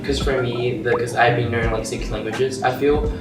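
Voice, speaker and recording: A man's voice: medium pitch, steady tone, moderate pace. A young man from China, whose first language is Chinese, speaking English with a Chinese accent, frank and full of fillers. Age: young adult. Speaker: student panelist. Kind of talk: casual discussion. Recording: echoey room, from far off, music behind.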